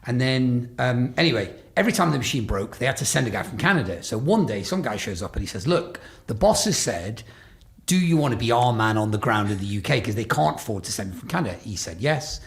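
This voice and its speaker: A man's voice: medium pitch, sing-song, fast, vocal fry. A man in his forties, raised in Scotland and England, hosting a podcast interview, telling rambling anecdotes with many restarts. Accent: faint Glaswegian, mostly lost, Stoke-on-Trent upbringing. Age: 40s. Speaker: podcast host. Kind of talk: casual conversational interview.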